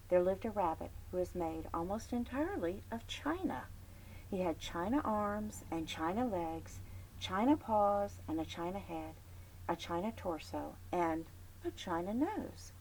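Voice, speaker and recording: A woman librarian reading a children's chapter book aloud, expressive, dropping to whispers and adding chatty asides. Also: lowish voice, measured pace, a little hiss or hum, poor audio. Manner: friendly chatty commentary between passages.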